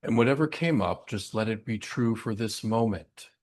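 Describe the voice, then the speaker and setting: Low-voiced man guiding meditation. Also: animated. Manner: gentle, unhurried, with long pauses. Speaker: meditation guide. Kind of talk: guided breathing meditation.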